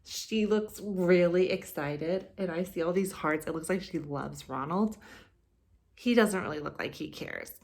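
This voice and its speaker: Slow, low-pitched woman teaching young children. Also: gravelly. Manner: simple explanations, expressive storytelling. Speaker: kindergarten teacher. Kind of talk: read-aloud lesson for young children. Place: living room, indoors.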